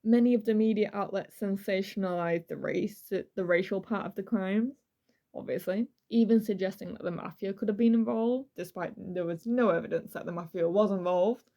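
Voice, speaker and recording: A woman's voice: mid-range voice, moderate pace, warm tone. Informal woman telling true crime stories. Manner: informal, emphatic repetition for effect. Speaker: true crime podcast co-host. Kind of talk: casual true crime storytelling chat.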